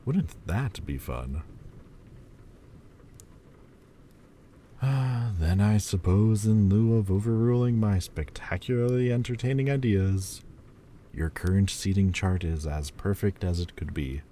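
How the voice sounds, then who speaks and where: A man voicing a deep, slow, teasing Lord of Demons. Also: dark tone, a little hiss or hum, close to an everyday voice. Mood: playful, teasing. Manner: teasing and playful, turning halting and hesitant. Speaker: voice actor in an audio roleplay. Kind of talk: listener-directed audio roleplay.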